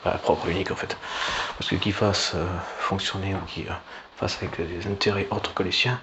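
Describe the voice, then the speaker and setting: A man giving a rambling, informal political monologue in a halting, sardonic way, with slang and swearing. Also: sits low, steady tone, lo-fi sound. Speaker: online political commentator. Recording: clearly noisy background.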